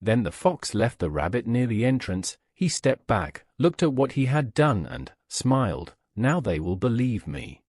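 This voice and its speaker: A man's voice: mid-range voice, very animated, unhurried, hardly any pauses, darkish tone, no room sound, clean studio sound. A man narrating a scripted moral story as a storyteller.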